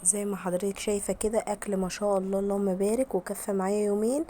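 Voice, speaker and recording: Egyptian woman, hoarse from a cold, quick friendly cooking vlog narration, mellow tone, faint noise underneath. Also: mid-range voice, fairly even intonation. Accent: Egyptian Arabic. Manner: chatty, addresses viewers directly with frequent blessings. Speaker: home cooking vlogger. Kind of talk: casual voice-over vlog.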